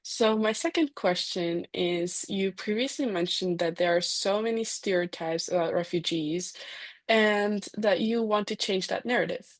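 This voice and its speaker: A woman with a mid-pitched voice hosts a webinar, politely asking questions and pausing on "uh" and "um". The recording is dry, coming through a video call. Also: dry recording. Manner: polite, hesitant with "uh" and "um". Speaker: webinar host. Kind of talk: interview questions.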